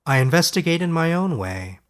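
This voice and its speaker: A man voicing a scholarly male wizard in a fairy-tale audiobook, formal, sincere and quietly resolute. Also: fairly high pitch, very animated, fairly quick, pitched above the performer's own voice. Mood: reverent.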